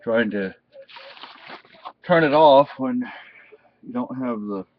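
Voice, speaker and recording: A man giving a casual, unscripted product review in a halting, rambling way. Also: medium pitch. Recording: dull, muffled lo-fi sound.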